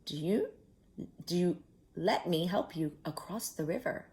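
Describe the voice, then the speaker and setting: A woman's voice: on the low side, animated, a little slow, darkish tone. A woman voicing a devious male fox who coaxes his prey in a crooning way in a children's storybook. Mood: sly coaxing. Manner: crooning, smooth-talking.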